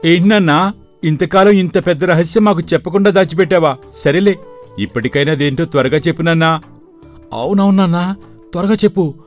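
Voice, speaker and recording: A man playing a spoiled, greedy young village son, whiny and demanding, in an animated moral story. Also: on the high side, a little fast, lightly acted. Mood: eager. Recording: background music throughout.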